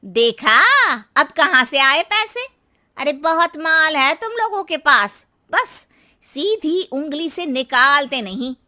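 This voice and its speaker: A woman's voice: sits high, big pitch swings, slow, sharp tone, heavily acted. A woman voicing a greedy, domineering middle-aged mother-in-law in a moral story, bossy and taunting. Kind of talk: acted moral-story dialogue.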